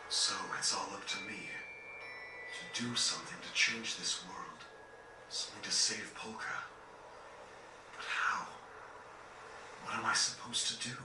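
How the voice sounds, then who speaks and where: A man playing a soft-spoken, pensive, melancholy gentleman in a video game cutscene. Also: on the low side, fairly even intonation, unhurried, long pauses, reverberant, distant mic, background noise, close to an everyday voice. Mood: burdened. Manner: pensive, questioning.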